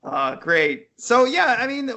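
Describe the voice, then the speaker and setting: Bright-voiced man chatting about movies. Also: very high voice, sing-song, takes it slowly. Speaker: podcast co-host. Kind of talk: casual film review chat. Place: remote internet call. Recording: internet call audio.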